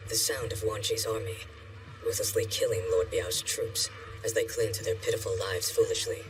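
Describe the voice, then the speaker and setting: An actor playing a cold, bloodthirsty army commander in an animated war drama, speaking with deliberate, commanding menace. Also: male voice, sits low, distant mic, loud music behind the voice, natural voice, low-quality recording. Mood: cold relish. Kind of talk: English-dubbed animated war drama acting.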